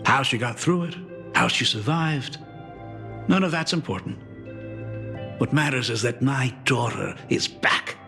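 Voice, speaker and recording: A man's voice: medium pitch, a little slow, creaky, pauses often, pushed and bright, soft background music. An actor playing a middle-aged doting father in a video game, self-deprecating, polite and hesitant in an emotive way. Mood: earnest, humble.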